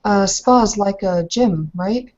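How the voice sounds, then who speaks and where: An awkward, unworldly bride, played by a woman in a tabletop game. Her speech is hesitant and tentative, at an average female pitch. The sound is dull and muffled. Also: slow pace, darkish tone, lightly acted. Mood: curious, tentative.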